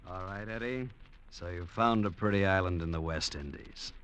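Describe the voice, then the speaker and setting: Low-voiced, skeptical money man. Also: moderate pace, fairly bright tone, slight background noise. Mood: doubtful, measured. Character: skeptical, cautious moneyed backer. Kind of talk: 1940s-50s radio drama acting. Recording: dull old radio broadcast recording.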